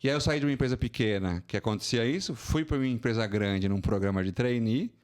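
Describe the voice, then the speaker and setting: A man in his forties with a São Paulo accent, a podcast guest telling his career story in a colloquial, animated way. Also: quick pace. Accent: Brazilian Portuguese, São Paulo. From São Paulo, Brazil. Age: 40s. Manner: animated, colloquial, rising pitch when indignant. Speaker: guest, financial consulting entrepreneur. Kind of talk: casual interview storytelling.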